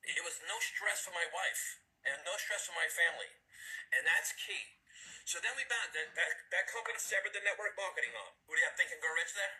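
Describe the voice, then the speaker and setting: A man's voice: high voice, a little fast, poor audio. Casting: New York guy, ex-cop, telling his story with energy over a video call. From New York City, USA. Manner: animated storytelling, acts out quoted voices. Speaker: guest speaker, former police officer and firefighter. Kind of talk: motivational testimonial.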